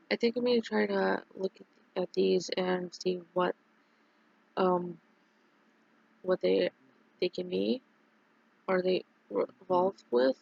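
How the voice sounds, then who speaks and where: Streamer, casual chat; heavy vocal fry, breathy edge, dull lo-fi recording, rambling delivery. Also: mid-range voice, darkish tone. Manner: rambling, halting, many fillers and restarts.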